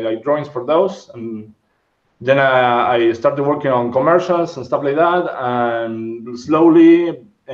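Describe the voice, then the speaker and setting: A man's voice: mid-range voice, darkish tone. A man in his thirties from Argentina, Spanish his first language, chats loosely as a guest and hunts for English words. His voice comes in over a remote call, muffled and roomy. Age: 30s. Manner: rambling, searching for English words. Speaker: guest sculptor. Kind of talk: casual interview chat. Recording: dull, roomy sound.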